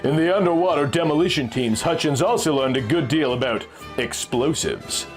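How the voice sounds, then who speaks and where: A man's voice: fairly high pitch, normal speed. A man narrating a pulp adventure story from a script, as a storyteller reading aloud. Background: music.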